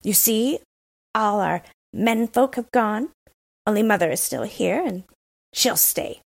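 A woman voicing a bold, wild young robber girl in a storybook reading, with a breathy voice. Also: lively intonation, takes it slowly, heavily acted. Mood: brash. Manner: blunt, brash, high and loud.

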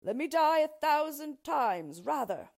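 A woman's voice: high voice, big pitch swings, measured pace, mellow, voice pushed up, full character voice. A woman voicing an anguished young king in a storybook, a devoted lover pleading melodramatically. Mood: defiant.

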